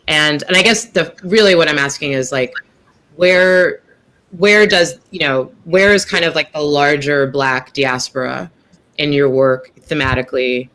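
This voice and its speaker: A woman's voice: sits low, unhurried, a bit bright. A woman moderating a panel over video call, encouraging the speakers and asking long, winding questions.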